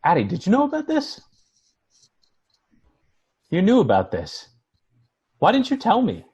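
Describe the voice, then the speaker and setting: Hesitant, husky bewildered man, read by an actor. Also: sits high, huge pitch swings, a little mellow, lo-fi sound, exaggerated voice. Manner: hesitant, halting. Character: Muff, bewildered male heir, uneasy and questioning. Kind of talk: table read of TV drama script. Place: home, remote video call.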